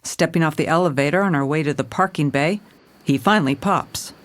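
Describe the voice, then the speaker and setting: Actress as a lowish, hard-boiled police commissioner. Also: female voice, brisk, lightly acted. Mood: dry, narrating. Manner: dry, world-weary, stern. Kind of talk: noir first-person audio drama narration.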